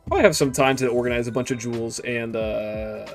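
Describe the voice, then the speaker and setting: A man's voice: mid-range voice, brisk, faint music behind. A man in his twenties chatting casually on a game stream, rambling and joking crudely, often trailing off into mutters. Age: 20s. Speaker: gaming streamer. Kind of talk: casual stream chat while gaming.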